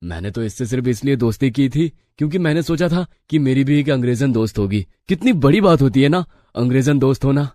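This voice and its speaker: A man voicing plain-spoken, excitable young village men in a moral story. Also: mid-range voice, a little fast, hardly any pauses, no echo, natural voice. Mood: subdued, sincere. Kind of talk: animated moral story dialogue.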